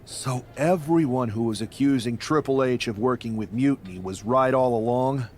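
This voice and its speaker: A man's voice: mid-range voice, moderate pace, a little mellow, natural voice. A man voicing an ambitious, pushy wrestler who challenges his leader in insistent video game cutscene dialogue. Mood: surprised.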